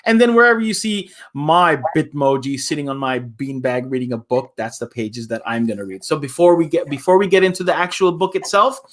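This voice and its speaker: A man's voice: fairly high pitch, fairly quick, few pauses. A man hosting a live children's story time as an imam, chatting in a playful, folksy, teacherly way. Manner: playful, folksy, teacherly with repeated "okay?" check-ins. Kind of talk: live children's read-along with casual chat.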